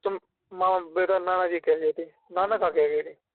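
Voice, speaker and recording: A man's voice: sits high, fairly even intonation, moderate pace, no room sound. A young man, defending himself in a family argument over a recorded telephone call. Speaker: son-in-law on a phone call. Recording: tinny recorded phone call.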